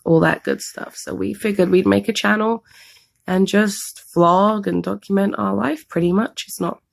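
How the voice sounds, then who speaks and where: A woman's voice: medium pitch, fairly even intonation, moderate pace. A woman from London with a London accent, losing her voice to a cold, chatting casually and rambling through a story time for a vlog. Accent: London English. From England, London. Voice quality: hoarse, gravelly. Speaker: vlogger co-host.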